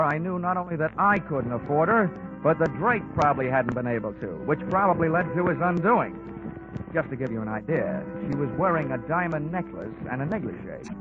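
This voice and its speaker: An American actor playing a wisecracking hardboiled insurance investigator in 1940s radio style, with a dry, clipped delivery. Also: male voice, a little high, lightly acted. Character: wisecracking hardboiled male insurance investigator narrating his case. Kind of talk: 1940s radio detective acting and narration. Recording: muffled vintage radio recording with music.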